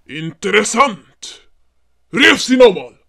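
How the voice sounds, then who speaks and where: Woman doing a gloating villain boss guy, swiss german, super slow, voice swings around a lot. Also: mid-range voice, sing-song, vocal fry, heavily acted. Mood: intrigued. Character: scheming, easily delighted male villain master. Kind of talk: hobby audiobook character acting.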